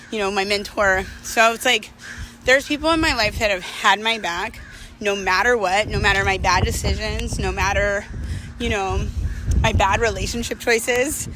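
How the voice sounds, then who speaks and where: Female vlogger, bright and clear-cut tone, casual confessional delivery with slangy, reflective phrasing; faint vehicle-like noise behind. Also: mid-range voice, low-quality recording. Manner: candid, reflective, conversational slang. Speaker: vlogger telling personal stories to viewers.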